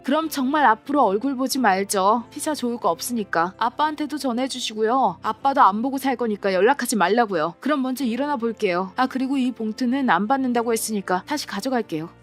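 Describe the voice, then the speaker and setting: A woman voicing a cool-headed young adult daughter who speaks calmly and coldly. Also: on the high side, brisk, hardly any pauses, soft background music, close to an everyday voice. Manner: calm, cold, cutting. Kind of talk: dramatized story reading.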